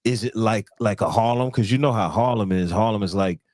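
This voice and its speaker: A man's voice: lowish voice, fairly quick, a little mellow, dry recording. A man hosting a podcast, chatting casually in slang and often repeating words for emphasis. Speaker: podcast host. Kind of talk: casual interview chat.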